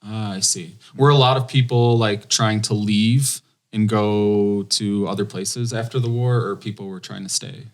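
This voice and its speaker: A man's voice: lowish voice, fairly even intonation, measured pace. A man hosting a podcast, asking his guests short, friendly questions and prompting them along. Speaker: podcast host. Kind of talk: casual interview chat.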